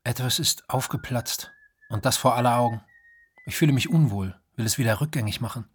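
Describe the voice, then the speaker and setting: An actor playing a restless young writer who tells of his own mania in an intense, expressive literary radio play monologue. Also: mid-range voice, fairly quick, slight background noise, lightly acted. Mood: subdued. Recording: studio voice with music behind. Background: music.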